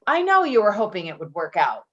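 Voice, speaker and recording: A woman's voice: medium pitch, breathy edge, dull audio. A woman acting a tough, defensive ex-convict in a play reading, blunt and combative in manner. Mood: guarded. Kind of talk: dramatic play reading, naturalistic dialogue.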